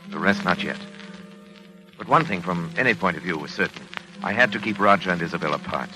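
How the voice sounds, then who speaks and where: Man acting grave, very deep-voiced radio drama doctor. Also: natural voice, poor audio. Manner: measured wording, formal, authoritative. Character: grave, controlling physician husband and narrator. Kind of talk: 1940s radio drama narration and dialogue. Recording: old 1940s radio recording.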